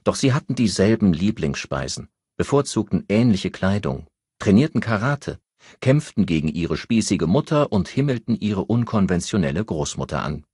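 A man with a very low, husky voice reads a novel as an audiobook narrator. The recording is dry and close, with scripted literary delivery. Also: sing-song, moderate pace, a little mellow, no echo.

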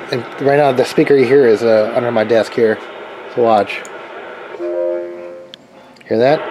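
An American man giving a casual, rambling hands-on demonstration, tentative and informal as he thinks aloud. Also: mid-range voice. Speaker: hobbyist video maker demonstrating a radio setup. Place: open garage workbench. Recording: steady ambient noise, home garage camera audio.

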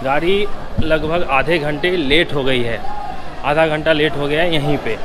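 A man in his thirties narrating a train travel vlog to camera in an informative, explanatory way. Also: a little slow. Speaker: travel vlogger. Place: on a moving train and at open railway platforms. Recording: loud train, crowd and outdoor noise. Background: train rumble, station crowd.

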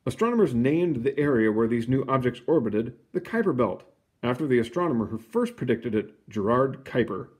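A man reading a children's book aloud in an expressive, explanatory way, with casual asides. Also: mid-range voice, normal speed. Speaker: picture book reader.

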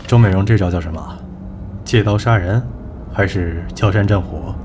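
A man's voice: sits low, moderate pace, background noise, natural voice. A man playing a confident, wry talent manager turned executive in an audio drama, assured and dry. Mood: wry, playful. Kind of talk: Chinese audio drama acting.

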